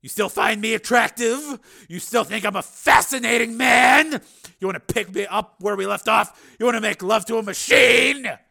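A man voicing an embittered, volatile male cyborg in an audiobook, with an intense, forceful delivery. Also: mid-range voice, wildly swooping pitch, measured pace, gravelly, husky, heavily acted. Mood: bitter, cruel.

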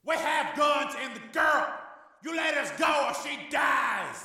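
A sneering hostage-taker played by a man shouts menacing demands with a slight foreign accent. His high, strained voice rings from far off through a long cavernous echo in this storybook thriller. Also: slow, gravelly, exaggerated voice. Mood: menacing, shouting. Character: sneering male terrorist holding a hostage. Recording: echoey cavernous reverb, far from mic.

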